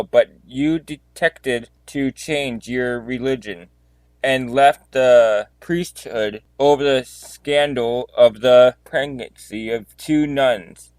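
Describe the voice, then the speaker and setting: A man playing a methodical police detective in an amateur murder mystery audio drama, deliberate and declarative. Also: mid-range voice, dry recording, lightly acted.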